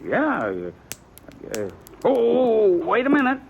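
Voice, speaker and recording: An actor playing a folksy, excitable frontier townsman with a stammering, eager manner and a rural Western American drawl, in 1950s radio western style. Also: very high-pitched, slow pace, vocal fry, exaggerated voice. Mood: excited, knowing. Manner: stammering, eager, repeats words. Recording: muffled, noisy 1950s radio recording.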